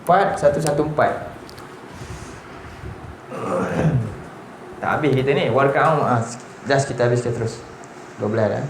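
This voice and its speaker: A man's voice: mid-range voice, unhurried, vocal fry, long pauses. A Malaysian man in his forties teaching a Quran lesson, constantly asking his listeners rhetorical questions. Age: 40s. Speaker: religious teacher. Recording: roomy with faint background noise.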